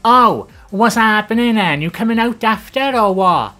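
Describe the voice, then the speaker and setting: A loud, high, sing-song local with a thick South Wales Valleys accent, put on by a Welsh woman. The voice is boisterous and hearty, and it leaps well above her own pitch. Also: expressive, unhurried, soft background music. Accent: broad South Wales Valleys.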